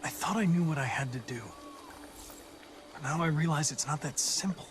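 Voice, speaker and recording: An actor playing a calm, polite male android detective who talks in an even, composed way, in a video game cutscene. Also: on the high side, moderate pace, natural voice. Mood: uncertain. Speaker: police investigator partner. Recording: game score music behind. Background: music.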